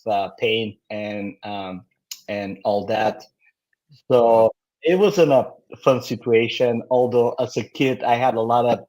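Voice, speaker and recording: A middle-aged or older man with a Bulgarian accent, speaking candidly and haltingly as he tells his story as an interview guest. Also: fairly low pitch, a little mellow, rough recording. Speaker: guest, company founder.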